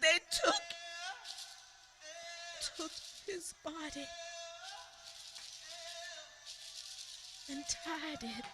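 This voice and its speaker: A woman playing a grieving eyewitness in a radio theater monologue, telling her story haltingly and repeating phrases for emphasis. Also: sits high, music in the background, poor audio. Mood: sorrowful. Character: grieving female eyewitness recounting a mob lynching in anguish.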